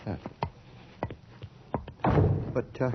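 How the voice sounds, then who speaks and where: An actor in a radio drama playing a nervous, deferential working-class hairdresser who speaks in folksy, ungrammatical phrases. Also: male voice, extremely slow. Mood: suspicious, anxious. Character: anxious, servile working-class hairdresser, proud of his trade. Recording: dull, noisy 1980s radio drama recording.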